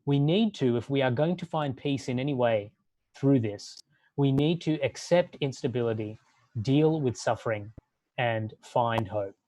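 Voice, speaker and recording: Mellow-voiced man giving a reflective talk. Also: medium pitch, no echo. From Australia. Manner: measured, building long lists for emphasis. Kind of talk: sermon-like talk.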